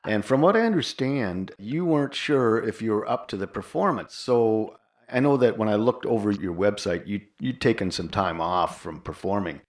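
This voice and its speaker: A man's voice: on the low side, animated, normal speed. A man hosting a podcast and interviewing a guest conversationally.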